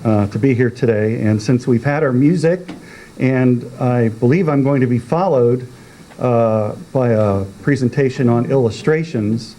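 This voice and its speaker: A man giving a theatrical reading with a deliberate, dramatic manner and long pauses. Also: medium pitch, mellow, low-quality recording. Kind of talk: theatrical poetry recitation.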